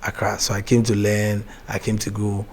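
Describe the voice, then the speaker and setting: A young man with a Ghanaian accent speaks in a lowish, soft-spoken voice, pausing and restarting his sentences as he chats with an interviewer. A faint ambient hiss sits underneath. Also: completely flat delivery. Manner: soft-spoken, laughs easily, hesitant restarts. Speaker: gospel artist guest. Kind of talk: casual interview chat.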